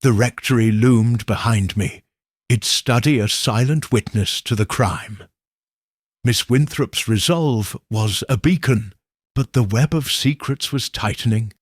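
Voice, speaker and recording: A man's voice: medium pitch, expressive, slow pace, no room sound, clean studio sound. A man reading scripted first-person mystery fiction as an audiobook narrator.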